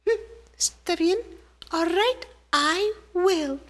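A woman voicing a tiny indignant female ant who squeaks in outrage. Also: slow, a bit bright, full character voice. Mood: determined.

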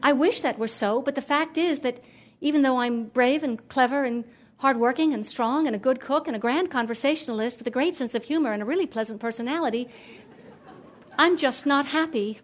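A woman's voice: high-pitched, fairly quick, close to an everyday voice. A woman voicing an unhappy, self-praising young woman in a sweet, wistful, earnest manner.

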